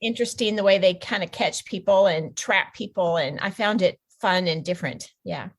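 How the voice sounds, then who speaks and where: A woman's voice: fairly low pitch, normal speed. An American woman from the Pacific Northwest, chatting casually as a novelist guest in an interview.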